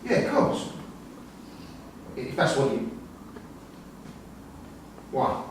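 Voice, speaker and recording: A man playing a middle-aged imprisoned father in a staged play reading, defensive and controlling, blunt and sweary in his talk. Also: very slow. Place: indoor performance venue, recorded from the audience's distance. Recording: echoey hall, distant mic, faint hiss.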